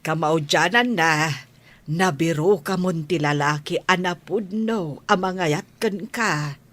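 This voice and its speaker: A woman's voice: on the low side, slow, fairly bright tone. A woman playing a caring middle-aged mother in an Ilocano radio drama. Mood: tender, relieved. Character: caring mother of a grown daughter.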